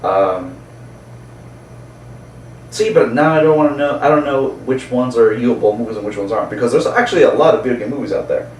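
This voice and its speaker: A man's voice: mid-range voice, lively intonation, fairly quick. A man talking casually and enthusiastically about movies, often chuckling as he speaks, recorded roomy and distant. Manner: enthusiastic, chuckling as they talk. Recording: roomy, distant mic, faint background noise.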